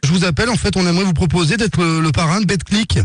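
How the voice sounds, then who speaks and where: A man's voice: high voice, fast, no room sound, clean studio sound. A man playing a polite, insistent marketing assistant for a betting company on a prank phone call, coaxing with hesitant sales talk. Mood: polite, businesslike. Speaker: prank caller.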